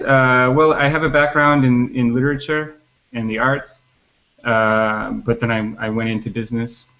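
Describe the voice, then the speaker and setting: A man from the United States answering interview questions over a video call, halting with many fillers. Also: on the low side, fairly bright tone, dull audio. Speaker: guest, entrepreneur.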